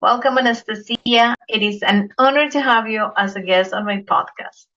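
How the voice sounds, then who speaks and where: A woman hosting a podcast, reading a guest introduction deliberately and then asking questions. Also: a little high, sharp tone. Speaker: podcast host. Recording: dull lo-fi audio.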